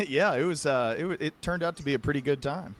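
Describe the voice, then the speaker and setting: A man's voice: a little high, brisk. An American man hosting a podcast in a casual, conversational way. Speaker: podcast host, psychiatric practitioner.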